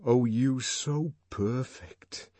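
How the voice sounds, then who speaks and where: A man voicing an ardent, anguished young man in love, fervent and halting, in an audiobook. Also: mid-range voice, dark tone, dry recording, voice pushed up. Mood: reverent, rapturous.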